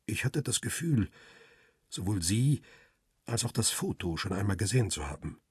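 An actor playing a reflective paparazzo who tells his own crime story in a measured, introspective way, with a rough, husky voice. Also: on the low side, very animated, no room sound, studio quality, full character voice. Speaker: narrator and lead character in a radio crime drama. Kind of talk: first-person radio drama narration.

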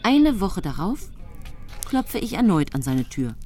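Low-voiced, calculating poisoner. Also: female voice, very animated, measured pace, a little mellow. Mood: quietly scheming. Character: secretive, falsely devoted female poisoner confessing her crimes. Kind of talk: radio drama first-person narration. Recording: studio radio drama with music.

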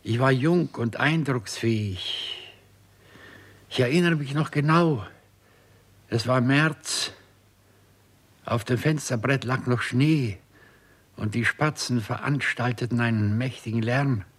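An actor playing a brooding, obsessed doctor in a 1960s radio crime drama, with a husky voice and a solemn, deliberate delivery. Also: male voice, mid-range voice. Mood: grave, haunted. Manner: slow, deliberate, solemn storytelling. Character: brooding, obsessive male doctor experimenting on raising the dead.

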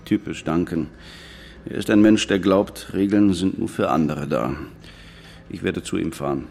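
Deep, gravelly, measured police detective. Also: male voice, a little mellow. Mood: measured, reflective. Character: calm, probing male police detective on an island. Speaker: actor in a radio play. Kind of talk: naturalistic German radio drama dialogue.

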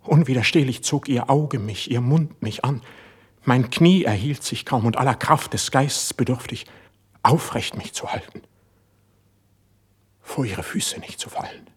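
An actor playing an impassioned, suspicious young court poet in a classical verse radio drama, with a husky, declamatory delivery. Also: male voice, medium pitch, a little slow. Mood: anguished, brooding. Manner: declamatory, intense stage delivery.

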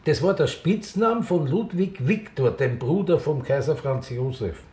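An actor playing a teasing, nostalgic older Austrian former club owner in naturalistic radio drama dialogue. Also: male voice, a little high, lively intonation, measured pace, dark tone. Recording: echoey room.

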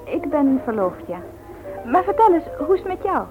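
A woman's voice: sits high, very animated, normal speed, narrow, tinny audio. An actress playing a cheerful, breezy, welcoming young woman in a radio drama, familiar in manner. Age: young adult. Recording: old radio play with music behind.

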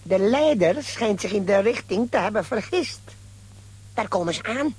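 A man playing a scheming, gloating comic villain in a children's audio drama, theatrical and raspy. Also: high-pitched, animated, moderate pace, darkish tone. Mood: gloating, spiteful.

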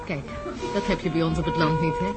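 An actress in a radio play voicing an astronaut's wife, a doctor who talks chattily and anxiously. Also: female voice, sits low, a little fast, over loud music, lightly acted. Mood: chatty, friendly. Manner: chatty, turning anxious and urgent. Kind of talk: radio drama acting. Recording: noisy ambience behind voice.

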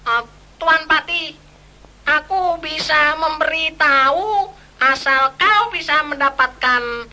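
A woman doing a cheeky squirrel, high and bright. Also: slow, full character voice. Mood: teasing. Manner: teasing, giggly, slips on words.

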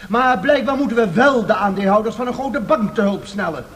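A woman's voice: medium pitch, lively intonation, brisk, close to an everyday voice. A woman playing an earnest, indignant member of parliament giving a formal speech in a radio drama. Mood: earnest indignation. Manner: formal, oratorical.

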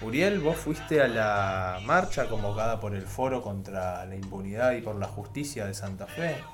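A man's voice: fairly low pitch, animated, moderate pace. An Argentine man presenting on community radio, giving commentary and putting questions to the others. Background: music.

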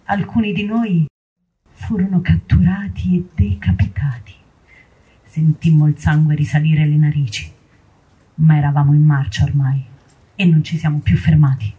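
A man plays a defiant medieval peasant rebel in a solemn stage recitation. The voice is dark, very breathy and husky, telling of revolt with gravity. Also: a little high, steady tone, normal speed, poor audio. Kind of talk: theatrical recited monologue.

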